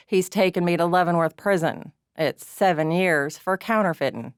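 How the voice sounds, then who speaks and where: A woman voicing a glum-faced male prisoner who talks in a gruff, blunt, plain-spoken way. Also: on the low side, moderate pace, no room sound, clean studio sound, natural voice.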